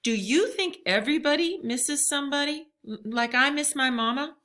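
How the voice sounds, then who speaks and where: Eager little storybook girl, acted by a woman, excitable and pleading, with a bright, high voice. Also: moderate pace. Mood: earnest, wistful. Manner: excitable, pleading, childlike folksy phrasing. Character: eager, pleading young girl planning a party.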